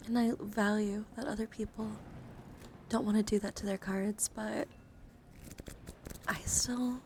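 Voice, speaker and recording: Softly whispering woman, noisy ASMR livestream. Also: mid-range voice. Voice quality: soft, breathy, often whispered. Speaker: livestreamer and VTuber host. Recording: clearly noisy ambient background.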